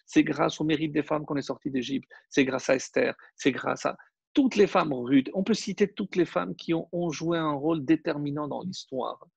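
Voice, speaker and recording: Brief male rabbi, non-native French, husky gravelly voice, emphatic teaching, slightly high pitch, dull dry recording. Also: dry recording. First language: not French. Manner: emphatic, addresses listeners directly. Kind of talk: Torah class.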